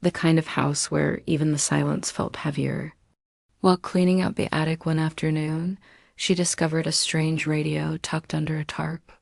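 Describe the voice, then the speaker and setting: A woman storyteller hosting and narrating a scripted horror story in a measured, deliberate way with dramatic pauses. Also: low voice, flat delivery, gravelly, dry recording, clean studio sound. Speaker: storyteller and channel host.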